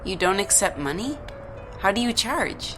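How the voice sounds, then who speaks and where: An actress playing a stranded traveller in an audio drama, hesitant, sceptical and a little impatient. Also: female voice, mid-range voice, very animated, moderate pace, music in the background, lightly acted. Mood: doubtful, reluctant. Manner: hesitant, doubtful.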